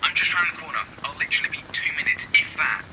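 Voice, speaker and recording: A man's voice: a little high, steady tone, fast talker, heavy background noise, natural voice. A young man acting in an audio drama as an eager, persuasive friend with a coaxing way of talking. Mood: rushed, apologetic.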